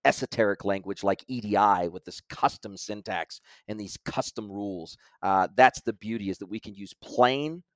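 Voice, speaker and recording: A man's voice: fairly low pitch, normal speed, hardly any pauses, no room sound. A man as an interview guest, explaining technical ideas at length in casual conversation, often restarting his sentences. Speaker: guest expert.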